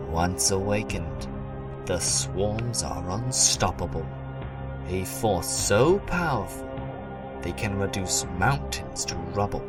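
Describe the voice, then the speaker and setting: Man playing a slow, deep-voiced solemn old elder. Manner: solemn, measured, portentous. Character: grave, wise old village elder of a fantasy island. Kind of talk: fan audio drama acting. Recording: loud music under the voice.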